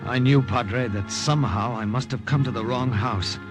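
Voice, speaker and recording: A man's voice: mid-range voice, moderate pace, close to an everyday voice. Actor playing a worn-out american secret agent recounting his mission, old radio drama, music behind him. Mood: weary recounting. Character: weary American wartime secret agent, telling his story. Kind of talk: 1940s-50s radio drama acting with first-person narration.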